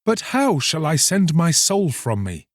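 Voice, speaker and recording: A man's voice: fairly high pitch, sing-song, measured pace, no room sound, clean studio sound, pitched above the performer's own voice. Man playing an ardent young fisherman, impassioned and lilting. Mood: urgent pleading. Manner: impassioned, archaic storybook speech. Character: ardent, lovestruck young fisherman pleading earnestly.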